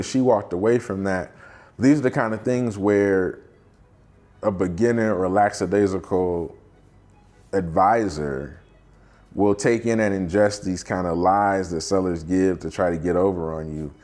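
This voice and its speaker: A man's voice: low voice, moderate pace, a little mellow, faint music behind. An American man working as a due diligence advisor, explaining a case in an emphatic, colloquial storytelling style. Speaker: due diligence advisor, quality of earnings provider. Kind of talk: explanatory storytelling to camera with acted-out dialogue.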